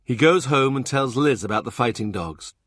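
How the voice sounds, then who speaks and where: Brief: male audiobook reader, mid-pitched, steady and measured, recorded close with no room sound. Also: dry recording. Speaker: audiobook narrator. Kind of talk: scripted narration of a historical novel.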